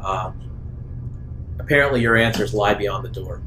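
A man narrating an improvised tabletop roleplaying game as game master, in a measured way with hesitant pauses. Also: fairly low pitch, sharp tone. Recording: roomy echo, faint background noise.

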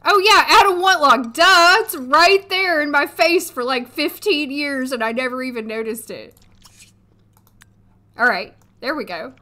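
A woman in her thirties, hoarse today, chatting casually and thinking aloud as she streams a life-sim game from a desk at home. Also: high-pitched, a little slow, bright, forceful tone. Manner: chatty, thinking aloud, frequent little laughs. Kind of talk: casual gameplay commentary. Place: home room, seated at desk.

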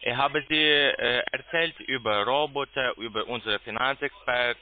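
A man speaks in a medium-pitched voice over a narrow, muffled phone line. He has a foreign accent and is not a native speaker. His sales pitch is halting and broken, as he keeps searching for words. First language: not German. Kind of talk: sales call. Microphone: telephone.

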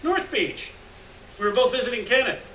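A man's voice: extremely high pitch, animated, normal speed, sharp tone, pitched above the performer's own voice, full character voice. A man voicing a boisterous, devil-may-care drifter buddy with slangy, brash talk, in a story read aloud. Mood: brash, cajoling. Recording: roomy, distant mic, noisy venue.

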